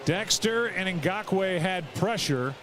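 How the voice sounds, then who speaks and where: A man's voice: high-pitched, expressive, slow, fairly bright tone, slight background noise. A man giving live football play-by-play as a television announcer. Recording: broadcast audio over stadium crowd. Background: stadium crowd.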